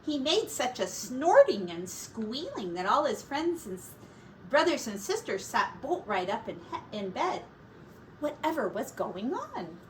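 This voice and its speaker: A woman teacher reading a children's story aloud with expressive, deliberate dramatic emphasis. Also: fairly high pitch. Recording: distant mic with faint room noise.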